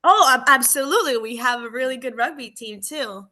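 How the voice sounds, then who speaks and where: Bright-sounding lady cop from argentina, playful and chatty, on a podcast call. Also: high voice, brisk. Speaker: guest police officer. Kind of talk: casual podcast interview.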